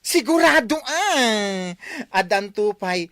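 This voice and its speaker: A woman playing an excitable, gossipy village woman who gushes and exclaims in an Ilocano radio comedy drama. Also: medium pitch, slow pace, dry recording, clean studio sound. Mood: gossipy, eager. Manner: exclamatory, gushing.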